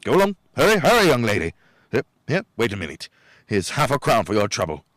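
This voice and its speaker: An actor playing an excitable old miser in a radio play, theatrical and stammering. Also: male voice, mid-range voice, a little fast, dry recording, clean studio sound. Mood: giddy joy.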